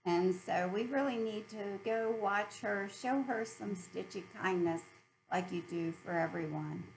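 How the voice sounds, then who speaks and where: A woman's voice: medium pitch, unhurried, gravelly, a little hiss or hum, rough recording. A middle-aged American woman chatting casually and cheerfully to viewers as host of a hobby video channel.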